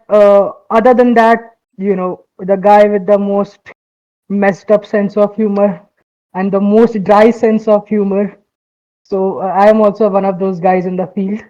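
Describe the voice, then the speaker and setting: A young man from India talking casually on a podcast in a relaxed way, with many pauses and fillers. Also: sits high, lo-fi sound. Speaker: podcast co-host. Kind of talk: casual chat.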